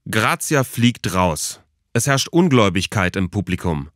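A man's voice: fairly low pitch, big pitch swings, unhurried, a bit bright, no echo, studio quality. A man reading a scripted documentary narration for a podcast.

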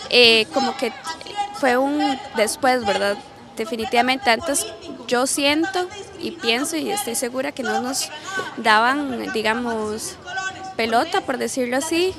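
A young woman at a street march, reflecting in a conversational interview with frequent tag questions. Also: high-pitched. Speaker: activist interviewee. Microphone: handheld interviewer mic.

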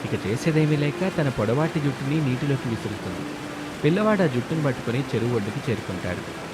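A man's voice: mid-range voice, animated, quick pace, noisy background. A man narrating a scripted moral story as a storyteller. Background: background music.

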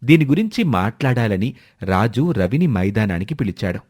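A man's voice: fairly low pitch, very animated, normal speed, darkish tone. A man narrating a scripted moral story for children as a storyteller.

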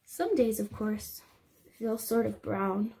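A young woman reading a picture book aloud to small children, expressive and sing-song, explaining things simply as a teacher would. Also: mid-range voice, slow pace, breathy edge. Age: young adult. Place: small indoor room.

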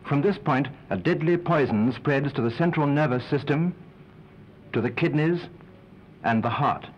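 Husky British man giving a grave warning. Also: on the high side, expressive, moderate pace. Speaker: narrator. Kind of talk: 1940s public information film narration. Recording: dull 1940s film soundtrack.

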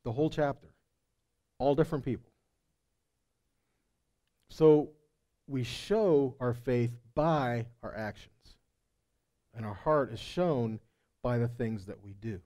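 Male Bible teacher, middle-aged. Soft-edged, warm tone, conversational yet emphatic sermon delivery on a headset mic. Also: medium pitch, slow, pauses often. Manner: conversational, checking in with listeners. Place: indoor stage in a meeting room or hall. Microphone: headset microphone.

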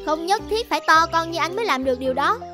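High, sassy little cartoon bird. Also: female voice, lively intonation, quick pace, music in the background. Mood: scolding. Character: feisty, chatty small female forest birds. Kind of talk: children's cartoon dialogue.

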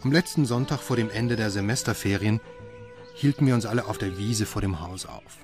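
An actor playing a reflective doctor who narrates his own story in a radio play, in a measured way. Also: fairly low pitch, close to an everyday voice. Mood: calm, reflective. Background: music.

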